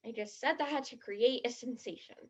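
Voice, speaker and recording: Woman playing a high-pitched, blunt teenage girl. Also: normal speed, darkish tone, breathy edge. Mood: contrite. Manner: blunt, indignant, skeptical. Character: blunt, skeptical, dramatic teenage girl from New Jersey. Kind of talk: stage play acting.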